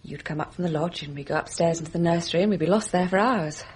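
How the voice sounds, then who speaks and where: A woman's voice: lowish voice, fast talker, darkish tone, lightly acted. An actress playing a genteel young English lady in a radio drama, politely clipped and brittle, hiding inner turmoil. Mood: wistful. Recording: radio drama with faint background noise.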